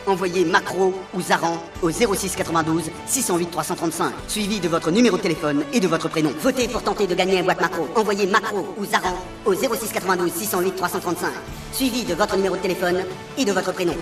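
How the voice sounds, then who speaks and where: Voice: medium pitch, quick pace, lightly acted. An actor playing a grand epic narrator of a comic radio serial, reading a scripted dramatic recap over music. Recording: music under the voice.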